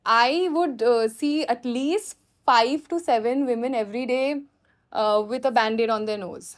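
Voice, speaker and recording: A woman filmmaker with an Indian accent answers questions off the cuff in a high-pitched voice. She explains through animated anecdotes, recounting what people said and did. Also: unhurried. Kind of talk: spontaneous question-and-answer talk.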